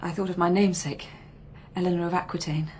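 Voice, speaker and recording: A woman's voice: on the low side, little pitch movement, fast talker, a little mellow, a little hiss or hum, lightly acted. An actress playing a tense, guarded young upper-class woman in a radio drama, clipped and haunted. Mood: subdued.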